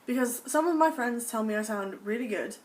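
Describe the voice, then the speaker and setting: A woman's voice: on the high side, expressive, brisk. A young American woman vlogging casually to camera in a put-on British accent, gushing with enthusiasm about things she loves. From United States. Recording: voice a bit distant from the mic, faint background noise.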